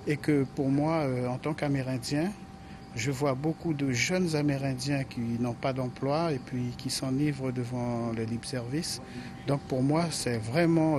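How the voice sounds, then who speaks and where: A man's voice: mid-range voice, noisy background. A man giving an earnest interview, with some hesitations.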